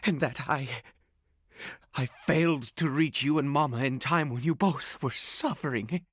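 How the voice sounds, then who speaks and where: An actor playing a grieving, desperate father in a video game, pleading in a tearful, breaking voice with halting, dramatic delivery. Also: medium pitch, fairly bright tone, slightly rough, no room sound, clean studio sound. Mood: tender, remorseful. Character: grieving desperate father, bitter toward the gods.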